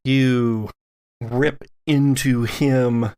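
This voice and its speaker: A man's voice: mid-range voice, steady tone, very slow, a little mellow, clear, resonant tone. A man acting as game master, narrating a tabletop role-playing game dramatically with lingering, descriptive phrasing.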